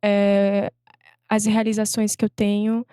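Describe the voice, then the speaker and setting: A young adult woman from Salvador, a podcast guest talking reflectively, pausing often and restarting her phrases. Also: mid-range voice, monotone, mellow, clean tone, no echo, clean studio sound. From Salvador, Brazil. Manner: reflective, many fillers and restarts. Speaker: guest artist. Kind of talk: casual interview chat.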